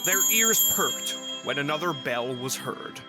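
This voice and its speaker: A man's voice: fairly high pitch, animated, a little slow. A man reading scripted story narration as the narrator of a fan fiction tale. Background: music.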